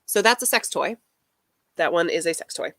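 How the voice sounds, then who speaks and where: Deadpan woman with a low, dry voice. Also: normal speed, no echo. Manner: deadpan, wry. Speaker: fashion commentary creator. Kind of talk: casual chat.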